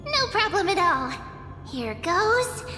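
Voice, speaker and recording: A woman's voice: very high-pitched, big pitch swings, slow, sharp tone, heavily acted. A woman voicing a tiny, cheerful, eager magical companion creature in a game, cute and perky. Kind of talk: game voice acting. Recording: echoey reverb, music behind.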